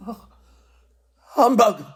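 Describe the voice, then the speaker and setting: An actor playing a grumpy, defiant old miser in a radio play, with a curt and scornful manner. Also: male voice, high-pitched, lively intonation, extremely slow, breathy edge, exaggerated voice. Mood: scornful, incredulous.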